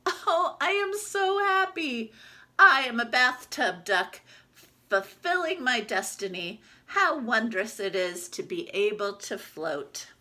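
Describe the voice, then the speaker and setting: A woman's voice: a little high, sing-song, slow pace, bright, forceful tone, exaggerated voice. A woman voicing a wistful, wonder-filled bathtub toy duck that tells its own tale in a dreamy, earnest way. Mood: joyful.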